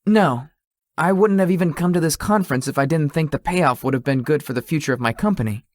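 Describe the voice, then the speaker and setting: A man playing a lazy, sweet-toothed young tech company founder in a visual novel, speaking in an awkward, low-key, hesitant way. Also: a little fast, no room sound, studio quality, close to an everyday voice. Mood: laid-back. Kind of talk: visual novel character dialogue.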